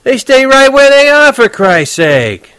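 A man doing a shouting, touchy cab driver. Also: high voice, lively intonation, moderate pace, no echo, studio quality, voice pushed up. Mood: irritated, yelling. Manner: shouting, touchy, rough street slang. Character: gruff impatient middle-aged male cab driver.

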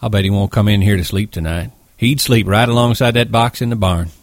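A man voicing a gruff, protective ranch hand who talks in a blunt, folksy, emphatic way in a story. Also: lowish voice, fairly quick, no echo, close to an everyday voice. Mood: matter-of-fact.

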